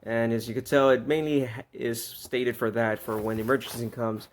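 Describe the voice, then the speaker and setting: A man with a medium-pitched voice talks through a product in a casual review style. He sounds informal and unscripted, listing features with a somewhat halting delivery. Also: flat delivery, slight background noise. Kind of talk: casual unboxing review commentary.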